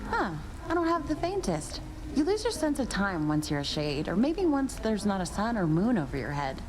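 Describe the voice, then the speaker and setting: An actress playing an easygoing young woman shade who talks in a relaxed, musing way. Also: medium pitch, normal speed, lightly acted. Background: game music.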